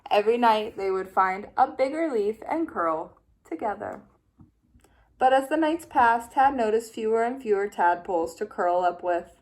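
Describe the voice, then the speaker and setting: A woman teacher reading a children's picture book aloud with expressive, playful storytelling. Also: fairly high pitch, normal speed.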